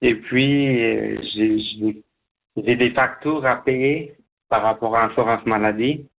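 A man guesting on a radio show, telling his story haltingly with many restarts, heard over a phone line. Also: mid-range voice, fairly even intonation, creaky. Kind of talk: halting personal account.

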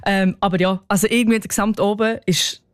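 A woman's voice: medium pitch, steady tone, quick pace, no room sound, clean studio sound. A young woman with a Swiss German accent, a singer-songwriter, who answers interview questions thoughtfully and with hesitant fillers. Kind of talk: radio interview.

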